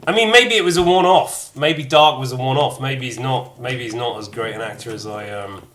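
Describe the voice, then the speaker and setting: A man's voice: medium pitch, normal speed, a bit bright, a little hiss or hum. Chatty man gushing over a game. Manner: chatty, playful, gushing asides. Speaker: gamer commentating his play. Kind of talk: casual let's-play commentary.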